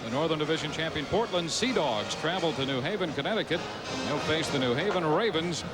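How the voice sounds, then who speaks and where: A man's voice: a little high, lively intonation, brisk, poor audio. An American man calling live football play-by-play as a television sports announcer. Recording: loud crowd, music, 1990s sports broadcast. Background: loud stadium crowd and band music.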